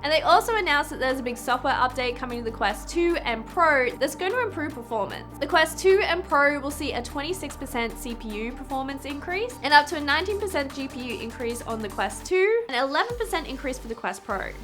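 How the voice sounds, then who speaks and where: A woman's voice: high voice, animated, fairly quick, hardly any pauses, a bit bright, soft background music. A young Australian woman with a stuffy nose from a cold, giving an upbeat, chatty tech review to camera. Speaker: VR tech YouTuber.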